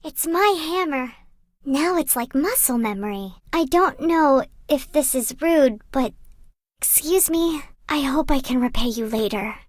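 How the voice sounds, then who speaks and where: A woman playing a gentle, bookish young librarian in a video game, wistful and earnest, like someone telling stories. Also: sits high, expressive, a little slow, dry recording, studio quality. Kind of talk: video game character voice lines.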